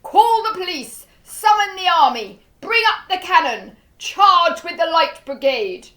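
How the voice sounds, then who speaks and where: A woman reading aloud, voicing an excitable, blustering old male duke in a storybook who bellows his exclamations. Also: a little slow, reverberant, exaggerated voice. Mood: excited. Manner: booming exclamations.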